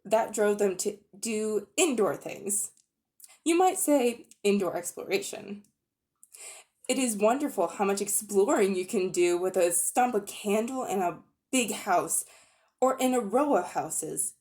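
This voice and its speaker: A woman giving an expressive dramatic reading of a children's novel. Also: medium pitch, measured pace. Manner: expressive storytelling. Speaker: reader.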